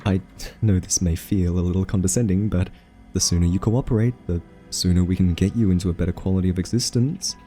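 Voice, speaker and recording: A man playing a quirky scientist in an ASMR roleplay, soft-spoken and hesitant, gently patronizing as he coaxes a captive AI. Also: low voice, steady tone, soft background music, natural voice. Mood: patient, coaxing. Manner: hesitant, halting, politely condescending.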